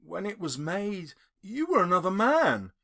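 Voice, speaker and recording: A man voicing a gentle, sorrowful but resolute young woman in an audiobook of a classic novel. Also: mid-range voice, very animated, a little slow, gravelly, heavily acted. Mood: sorrowful, firm.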